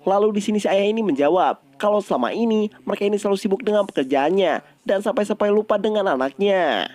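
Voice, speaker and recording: A man's voice: high voice, animated, fast, darkish tone. A man with an Indonesian accent narrating a story recap in an informal, scripted style.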